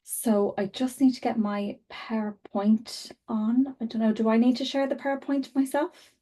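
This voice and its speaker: A woman's voice: on the high side, fairly even intonation, moderate pace, warm tone. A woman presenter whose first language is Irish Sign Language, apologetic and slightly flustered on a video call. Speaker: webinar presenter. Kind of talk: casual talk while setting up on a video call.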